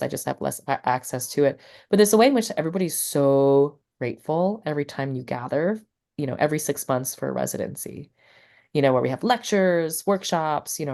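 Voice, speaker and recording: A low-voiced woman speaks as an interview guest, a poet who also teaches. She talks casually and rambles, leaning on "like" and "you know" and restarting her sentences as she goes. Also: expressive, a little fast, vocal fry, warm tone.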